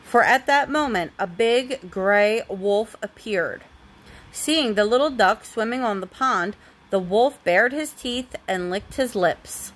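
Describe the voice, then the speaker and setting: A woman's voice: mid-range voice, animated, takes it slowly, a bit bright. A woman reading a storybook aloud expressively to a young child, as a parent does at bedtime.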